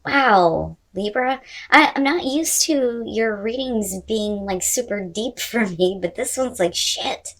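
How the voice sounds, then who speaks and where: A woman's voice: a little high, animated, slow, hardly any pauses, a bit bright. A woman host giving an unscripted tarot reading to viewers, talking in a conversational, emphatic way with the odd mild swear word.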